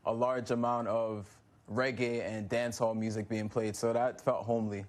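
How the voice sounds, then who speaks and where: Relaxed young man from New York. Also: on the low side, moderate pace. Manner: relaxed, casual, with frequent likes and restarts. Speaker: guest. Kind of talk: interview answers.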